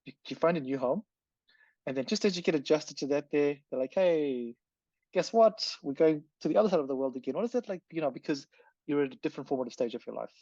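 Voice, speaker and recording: A man's voice: a little high, animated, fast talker, warm tone, dry recording. A man hosting a podcast and talking casually with his guest, often repeating words as he restarts his sentences. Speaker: podcast host. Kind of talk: casual interview chat. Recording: dull, slightly lo-fi.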